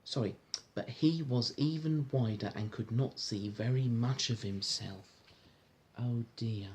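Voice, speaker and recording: A man reading a storybook aloud to a child in an informal, encouraging, gentle way, with chatty asides. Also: medium pitch, a little slow, slightly rough. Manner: encouraging, gentle, echoes the child's words back. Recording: home recording with faint background noise.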